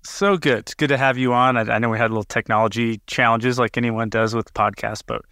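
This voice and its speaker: Podcast host guy, chatty and keen, trips over his words a bit, bright dry sound. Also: medium pitch, fairly even intonation, fast talker, no room sound. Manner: chatty and enthusiastic, with repeated restarts. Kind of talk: casual interview hosting.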